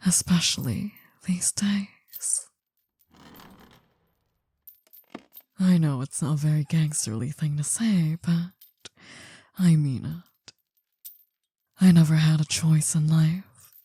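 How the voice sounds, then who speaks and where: A woman playing an older female mafia boss in an intimate ASMR roleplay, possessive, doting and soothing, in a breathy half-whisper. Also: low voice, mellow, dry recording, exaggerated voice. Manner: slow, soothing, coaxing.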